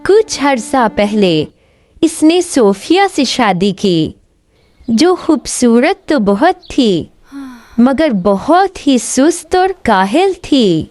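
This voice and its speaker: A woman's voice: a little high, big pitch swings, darkish tone, dry recording. A woman narrating a scripted fairy tale as a storyteller.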